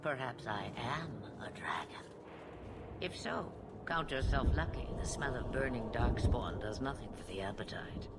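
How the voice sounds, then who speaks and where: An ancient witch of the wilds, cryptic and amused. The voice swings widely and takes its time, with dramatic pauses and a husky, whispery edge. It is theatrical game acting under heavy noise. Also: rumbling low, animated. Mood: sly amusement. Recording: loud background noise.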